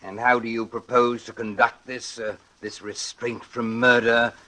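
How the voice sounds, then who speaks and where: An actor playing a disapproving, easily alarmed physician in a 1940s radio drama, protesting in exclamatory, sputtering phrases. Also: male voice, medium pitch, level tone, measured pace, bright, forceful tone. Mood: skeptical. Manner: exclamatory, hesitant sputtering, remonstrating.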